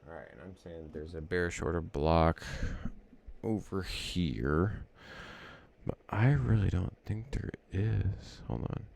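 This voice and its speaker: A man's voice: low-pitched, lively intonation, slow, vocal fry, a little mellow. A man casually thinking aloud as he walks through trading charts, rambling and correcting himself as he goes. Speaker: trading educator.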